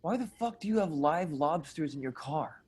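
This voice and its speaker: A man's voice: on the high side, animated, moderate pace, a little mellow, breathy edge, no room sound. A man playing a jittery, bewildered passenger who protests, in a dramatic screenplay reading. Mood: incredulous. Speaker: actor in a screenplay table read.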